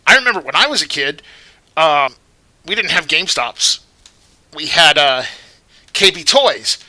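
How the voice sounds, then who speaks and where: A male vlogger with a very bright, sharp voice rambles through his commentary. His tone is indignant and moralizing, and his voice sits a little high. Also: animated, unhurried. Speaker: vlogger host. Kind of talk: commentary vlog.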